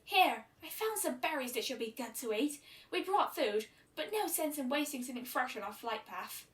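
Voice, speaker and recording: A woman's voice: high-pitched, expressive, moderate pace. A woman voicing a brash young female pegasus pony in a fan fiction story, blunt and casual. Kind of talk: amateur fan fiction reading. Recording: roomy echo, distant microphone.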